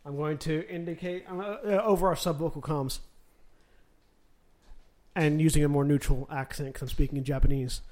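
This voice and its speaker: A man's voice: fairly high pitch, fairly even intonation, moderate pace, pauses often, a little mellow. A man playing a tabletop game, planning eagerly and often restarting his phrases. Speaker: player.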